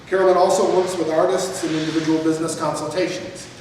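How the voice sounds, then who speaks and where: A man's voice: fairly high pitch, little pitch movement, quick pace, darkish tone. A man hosting an event, making announcements and introducing the speaker in a loose way, repeating words and saying "you know". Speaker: event host. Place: event hall in an arts building. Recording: echoey hall, mic far off, background noise.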